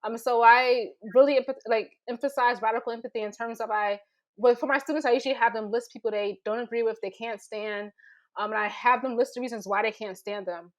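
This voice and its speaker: A woman with a slightly high voice speaks informally as a writing teacher on a panel. She is emphatic, circling back to reword her points as she goes. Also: steady tone, brisk, low-quality recording. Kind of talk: informal panel discussion talk.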